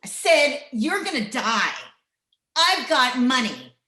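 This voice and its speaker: A woman plays a bitter older ranch woman in an old-time radio western. Her voice is sharp and fairly high, and she talks slowly and bluntly in a folksy way. The room echoes around her. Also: very animated, heavily acted. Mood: bitter, threatening. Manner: blunt, folksy rural speech. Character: bitter, mean older rancher's wife, vengeful. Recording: roomy, echoing home video-call recording.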